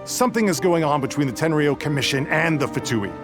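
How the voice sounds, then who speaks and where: A man's voice: on the high side, animated, quick pace, music in the background, natural voice. A man voicing an earnest, dutiful, deferential soldier in a video game. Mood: respectful, concerned. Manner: respectful, earnest. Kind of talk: video game character acting.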